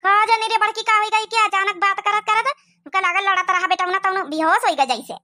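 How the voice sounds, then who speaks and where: A woman's voice: very high-pitched, steady tone, fast talker, pushed and bright. A woman voicing a fussy, indulgent old village mother-in-law in an Awadhi cartoon comedy, with a rustic accent and exclamatory way of talking. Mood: alarmed.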